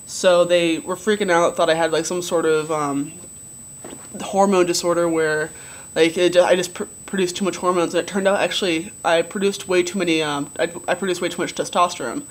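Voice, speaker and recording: A young man with a relatively low voice giving a casual video diary update, rambling with many ums and likes. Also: steady tone, fairly quick. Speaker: vlogger.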